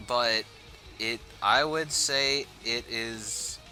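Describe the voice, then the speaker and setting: Hesitant man over clear background music. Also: medium pitch, extremely slow, bright, forceful tone. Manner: hesitant, filler-heavy. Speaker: co-host. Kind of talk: casual movie review chat.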